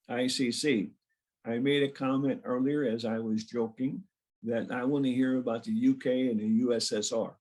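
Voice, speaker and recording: A man's voice: medium pitch, a little mellow. Chatty man hosting a club meeting. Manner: chatty, informal, joking. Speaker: club president introducing a speaker. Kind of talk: casual meeting introduction. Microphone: video call.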